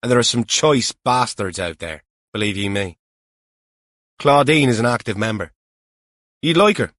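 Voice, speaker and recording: A man's voice: mid-range voice, lively intonation, normal speed, fairly bright tone, dry recording, studio quality, lightly acted. A man voicing a seasoned, colloquial police officer with a wry, emphatic manner in an audiobook. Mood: matter-of-fact.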